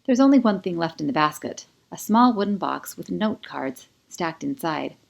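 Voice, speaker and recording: A woman with a fairly low voice narrates an audiobook novel in the first person. Her storytelling is expressive and dramatic. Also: moderate pace, vocal fry, highs cut off. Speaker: author reading own audiobook.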